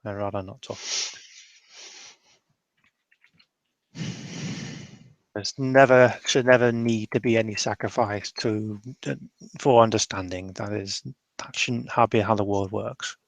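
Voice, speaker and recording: A man playing a reluctant, troubled man in a tabletop roleplay, guarded, bitter and self-deprecating, speaking in a halting, exasperated way. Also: lowish voice, fairly even intonation, creaky, dry recording, rough recording. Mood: halting, uneasy.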